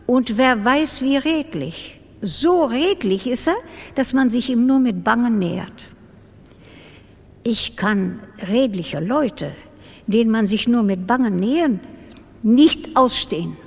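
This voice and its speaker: A woman giving a scripted, dramatic literary reading for a radio drama. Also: on the high side. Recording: long reverb.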